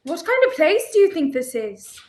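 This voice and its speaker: Actress as indignant young heroine, extremely high pitch, lively intonation, plaintive, haughty, vintage radio drama. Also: female voice, normal speed. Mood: defiant. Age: young adult. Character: indignant young woman handcuffed to fugitive, stubborn. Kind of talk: 1930s radio play acting.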